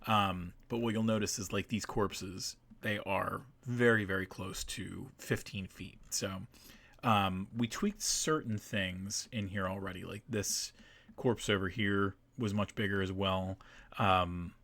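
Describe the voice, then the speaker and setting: A man hosting a livestream with casual commentary, explaining things in a halting, informal way. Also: sits low, fairly even intonation, creaky, fairly bright tone. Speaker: map maker and stream host.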